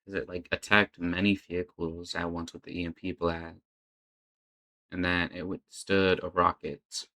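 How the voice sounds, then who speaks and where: A man's voice: sits low, level tone, measured pace, sharp tone. A man hosting a reaction video, giving casual off-the-cuff commentary as he watches.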